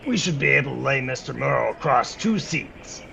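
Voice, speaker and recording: A man's voice: medium pitch, animated, normal speed, gravelly, bright, forceful tone, a little hiss or hum, full character voice. A man playing a dry, organized tour manager in 1940s-style radio comedy. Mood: businesslike.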